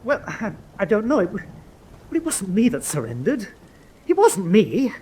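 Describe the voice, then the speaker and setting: A man's voice: sits high, huge pitch swings, unhurried, warm tone, slightly rough, exaggerated voice. An actor playing an anxious, defensive U-boat lieutenant in a radio drama, strained as he justifies himself.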